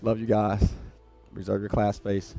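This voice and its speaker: A man in his thirties with a low voice and a gravelly edge explains exercises to camera. He is casual and direct, urging listeners on like a coach. Faint music plays behind him in a small gym. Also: level tone, measured pace, warm tone. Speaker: fitness coach. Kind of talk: workout instruction to camera. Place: small home-style gym with rubber floor, indoors.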